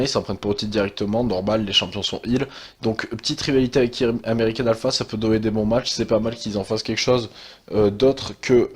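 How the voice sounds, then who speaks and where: A young man with a head cold talks in a low, husky voice. He chats loosely and hesitantly, filling pauses with sighs and fillers. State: has a cold, stuffy. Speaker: wrestling channel host. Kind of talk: casual commentary monologue.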